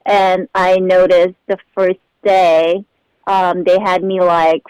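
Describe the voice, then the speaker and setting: A woman's voice: on the low side, level tone, slow pace, darkish tone, clear, resonant tone, dry recording, muffled recording. A bubbly young woman from the Philippines, chatting and laughing often as a guest in a casual interview. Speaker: show regular being interviewed.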